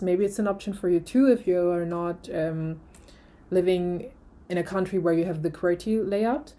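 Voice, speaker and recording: A young German woman talks through a product review in a relaxed, rambling way, full of "um"s and small restarts. Her voice sits somewhat low for a woman and sounds mellow and soft-edged. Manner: chatty, many fillers and restarts, laughs often. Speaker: vlogger.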